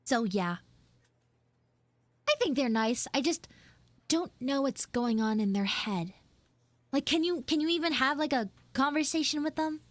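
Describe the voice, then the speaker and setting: Woman playing a high-pitched, huffy tsundere frog girl. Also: measured pace, pauses often, no room sound. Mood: puzzled, expressive. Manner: emphatic, bossy, animated. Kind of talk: live audio roleplay for viewers.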